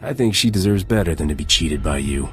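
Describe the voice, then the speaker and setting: A man's voice: sits low, animated, brisk, a bit bright, faint music behind. A man playing a cocky, flirtatious smuggler captain in a video game, wry and flippant. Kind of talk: video game character acting.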